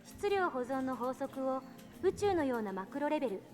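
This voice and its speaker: A woman's voice: sits high, very animated, fast, darkish tone, faint music behind. A woman voicing a composed, intellectual eighteen-year-old female scientist in a visual novel game, lecturing precisely and assertively.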